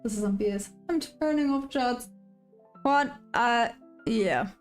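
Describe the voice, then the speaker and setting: A woman talks through her trading session in a relaxed, chatty way, reading viewers' questions aloud and answering them. Her voice sits fairly high, and faint music plays softly beneath her. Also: a little slow. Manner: upbeat sign-off, friendly to viewers. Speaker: day-trading live streamer. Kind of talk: casual live-stream chat while trading.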